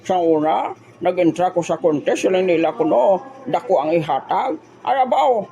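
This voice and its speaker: A woman playing an elderly, exclamatory grandmother in a comic audio drama, with a creaky voice. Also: on the low side, moderate pace, warm tone, dull audio, slight background noise, close to an everyday voice. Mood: chatty, exclaiming. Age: older. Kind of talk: comedic audio drama dialogue.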